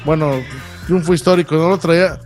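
A man on a radio show, reading sports results and joking in a brisk, colloquial way. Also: on the high side, fairly even intonation, a little mellow, soft background music. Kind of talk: sports news rundown and banter.

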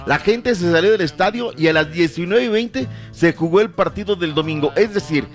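Emphatic man hosting sports talk, higher-pitched voice. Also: brisk, soft background music. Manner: emphatic, rhetorical, formal wording. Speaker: sports talk show host. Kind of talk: opinionated sports discussion.

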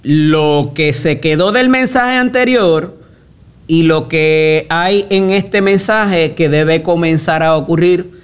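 A man hosting a radio talk show, brisk and probing, cutting in with pointed questions. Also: fairly high pitch, smooth. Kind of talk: radio interview.

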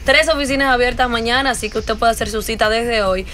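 Bright, higher-voiced woman co-hosting radio. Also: quick pace, slight background noise. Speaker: radio call-in show co-host. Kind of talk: casual live radio chat.

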